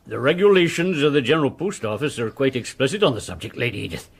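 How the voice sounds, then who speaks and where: An actor playing an anxious, rule-abiding village postmaster in a period radio drama, polite and formal, with an English accent. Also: male voice, mid-range voice, expressive, fast, creaky, husky. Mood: nervous, resolute.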